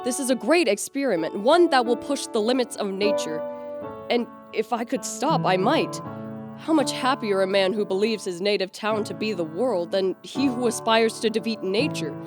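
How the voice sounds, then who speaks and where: A woman's voice: mid-range voice, animated, normal speed, music in the background, lightly acted. A woman playing an obsessive, ambitious young male science student, narrating earnestly and formally in a radio play. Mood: fervent.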